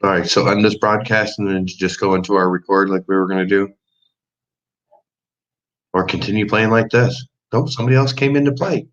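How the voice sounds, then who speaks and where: A man's voice: lowish voice, steady tone, fairly quick. A man co-hosting a livestream test, chatting casually and easygoing. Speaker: livestream co-host. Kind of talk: casual chat. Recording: lo-fi livestream audio.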